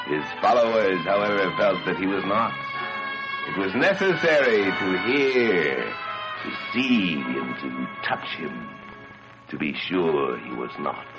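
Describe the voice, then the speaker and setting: A man narrating a gothic horror story in a solemn, theatrical old-time radio style, with deliberate, dramatic phrasing. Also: lowish voice, lo-fi sound. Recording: band-limited old radio sound with music. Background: music.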